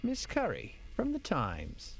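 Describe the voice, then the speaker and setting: A suave, deferential hotel manager for an audio drama. His delivery is theatrical and extremely animated in pitch, with noticeable fry. Faint music plays behind him. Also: slow pace, exaggerated voice. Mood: smooth, reassuring. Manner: formal, courteous, theatrical.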